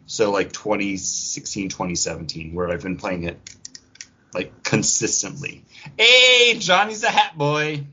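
A man co-hosting a podcast in casual, animated pop culture chat, swearing freely and acting out imagined quotes. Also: lowish voice, measured pace, slightly rough. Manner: profane, acts out imagined quotes mid-talk. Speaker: podcast co-host. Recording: dull, lo-fi audio.

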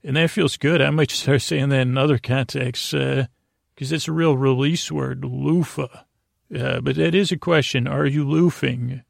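Man, heavy gravelly creak, meandering bedtime monologue, halting and self-interrupting, soothing, close dry sound. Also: mid-range voice, steady tone, dry recording. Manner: lulling, soothing, friendly. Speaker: podcast host. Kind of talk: rambling bedtime monologue to help listeners sleep.

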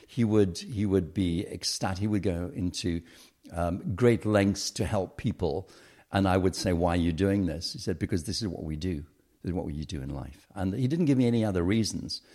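Man, middle-aged, very deep voice, reflective, hesitant, interview guest. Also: dark tone. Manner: thoughtful, hesitant with frequent ums. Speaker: podcast guest.

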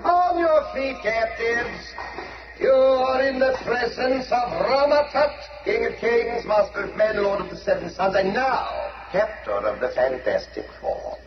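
A pompous villain pharaoh. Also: male voice, very high-pitched, animated, a little slow, exaggerated voice. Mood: commanding. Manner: pompous, boastful monologue. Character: grandiose male villain pharaoh, time traveler. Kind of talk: 1960s cartoon voice acting. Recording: dull lo-fi old broadcast with music.

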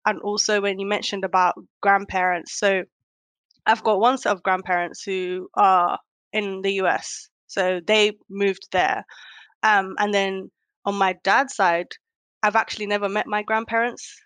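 A woman's voice: medium pitch, little pitch movement, measured pace, fairly bright tone, clean tone, dry recording. A young woman born in Kenya, speaking reflectively in a casual conversation, with lots of "um"s. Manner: reflective, many fillers.

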